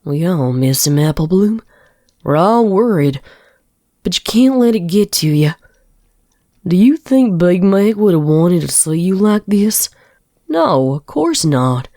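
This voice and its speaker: Woman as slow-talking farm pony big sister. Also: on the low side, very animated, warm tone. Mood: gently reassuring. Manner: folksy, slow, tender and emotional. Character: earnest young-adult female farm pony, protective big sister. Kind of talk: dramatic fan fiction reading. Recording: music behind the voice.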